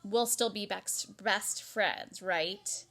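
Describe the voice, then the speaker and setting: A woman's voice: mid-range voice, drawn-out words, fairly bright tone, heavily acted. A woman voicing an anxious, questioning young boy from a children's storybook. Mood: worried. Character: worried young boy, storybook best friend.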